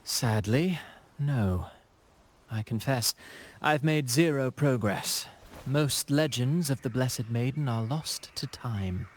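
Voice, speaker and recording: An actor playing a courtly, theatrical playwright in a video game, speaking in ornate, pompous phrases with dramatic pauses. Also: male voice, mid-range voice. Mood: grandiose, musing. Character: theatrical, courtly, flowery male playwright with grand airs. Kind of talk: video game character acting.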